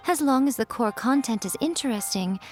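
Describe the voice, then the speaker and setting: A sly, elegant fox spirit lady, played by a woman who teases as she teaches. Her voice sits a touch high and stays smooth and knowing, never rushed. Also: expressive, faint music behind, lightly acted. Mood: instructive. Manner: smooth, teasing, unhurried and knowing. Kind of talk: video game cutscene voice acting.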